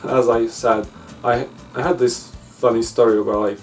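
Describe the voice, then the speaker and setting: A man's voice: mid-range voice, flat delivery, moderate pace. A man from Ukraine, chatting casually in an interview in an easygoing way. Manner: easygoing, with frequent "uh" and non-native grammar. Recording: distant mic with faint music.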